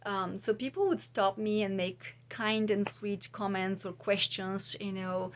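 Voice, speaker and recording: A woman's voice: mid-range voice, unhurried. A woman talks casually and reflectively to viewers, musing and often searching for her words. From Bulgaria. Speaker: vlogger and podcast host; trauma practitioner.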